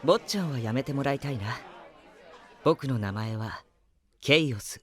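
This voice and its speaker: An actor playing a polite, composed, enigmatic youth in a Japanese audio drama, soft-spoken and boyish. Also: male voice, mid-range voice, lively intonation, moderate pace, a faint crowd, natural voice. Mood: calm, polite.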